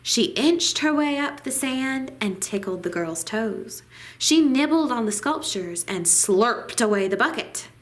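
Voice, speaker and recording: A woman reading a children's picture book aloud at storytime, with deliberate, dramatic phrasing. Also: a little high, expressive. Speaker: library storytime reader.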